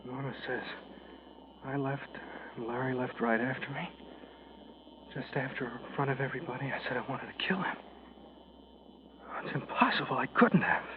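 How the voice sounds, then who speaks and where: A man's voice: medium pitch, normal speed, long pauses, lightly acted. An actor playing a panicky, desperate man on the run in a 1940s radio drama, with urgent, clipped delivery. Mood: dazed, frightened. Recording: noisy, muffled old radio recording.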